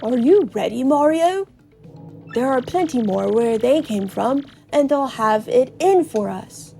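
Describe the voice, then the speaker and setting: A woman's voice: high voice, expressive, a little slow, creaky, mellow, faint music behind, full character voice. A woman voicing an instructive professor from a video game, coaching a fighter in an emphatic, didactic manner. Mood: earnest instructing.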